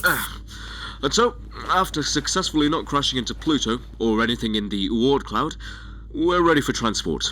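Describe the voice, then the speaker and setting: A man's voice: medium pitch, lively intonation, normal speed, pushed and bright, slight background noise. A man playing a cocky, wry space pilot with a sense of wonder in a scripted audio drama. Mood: focused, awed. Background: music under the voice.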